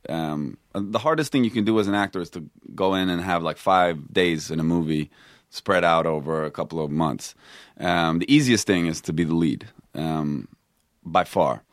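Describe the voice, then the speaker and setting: A middle-aged man from Sweden speaks in a very low, deep voice, working through his thoughts with many restarts and fillers. The sound is dry and close, with no room around it. He chats casually as an interview guest. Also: normal speed, no echo. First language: Swedish. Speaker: actor guest.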